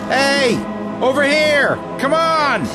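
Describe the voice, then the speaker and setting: A man's voice: very high-pitched, slow pace, music in the background. A man playing a brave, dutiful private soldier in a wartime audio drama, speaking earnestly. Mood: determined.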